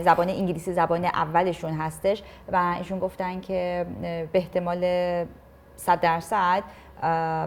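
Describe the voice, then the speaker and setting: A woman interviewing a guest and translating between two languages, pausing often with filler sounds as she goes. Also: on the low side. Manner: hesitant, many filler sounds while translating. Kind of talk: bilingual interview, translating questions and answers.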